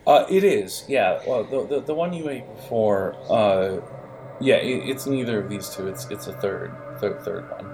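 A man improvising narration as game master in a halting, digressive manner, with music behind the voice. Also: lowish voice, animated, a little mellow.

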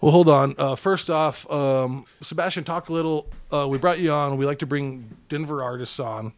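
A man's voice: a little high, moderate pace. A man co-hosting a podcast, talking in a loose, teasing, rambling way with lots of fillers. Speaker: podcast co-host. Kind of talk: casual crude chat.

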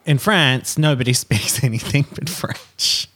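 A man chatting casually, talkative and animated, often breaking into laughter as he tells stories. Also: mid-range voice, a little slow. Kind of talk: casual chat.

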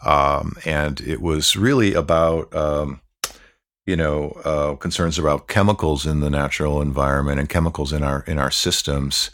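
A man hosts a reflective podcast in a calm, measured way. He often pauses with fillers and lets phrases draw out. His voice sits extremely low. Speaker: podcast host.

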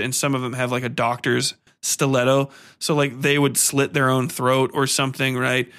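A man hosting a podcast, giving a casual, rambling, slangy film review full of swearing and animated, dramatic storytelling. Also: a little high. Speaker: podcast host.

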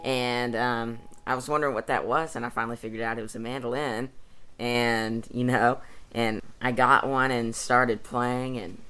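A man's voice: mid-range voice, level tone, moderate pace, sharp tone, smooth, faint music behind. A fourteen-year-old male musician, chatting casually as an interview guest, modest and enthusiastic. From East Tennessee, United States. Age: teenager, 14.

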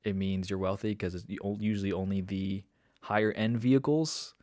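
Young man from Indonesia, rambling conversational guest with a low voice, dry close sound. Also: fairly even intonation, normal speed, darkish tone, dry recording. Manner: rambling storyteller, many fillers and restarts, laughs often. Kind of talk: casual conversation.

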